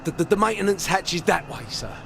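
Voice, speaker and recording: An actor playing a nervous, deferential working-class police constable with an English accent and colloquial, stammering speech. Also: male voice, high voice, expressive, fast talker, vocal fry, bright, forceful tone, a little hiss or hum, heavily acted. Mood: nervous, pleading.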